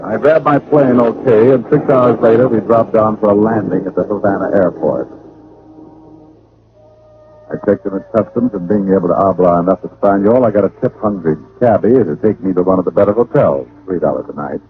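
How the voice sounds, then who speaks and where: A man's voice: on the low side, fairly quick, over music, lightly acted. An American actor playing a witty, sardonic private detective who narrates his case in old-time radio style. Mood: brisk narration. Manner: wry, sardonic. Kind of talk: 1940s-50s radio detective acting and narration. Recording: muffled, hissy vintage radio recording.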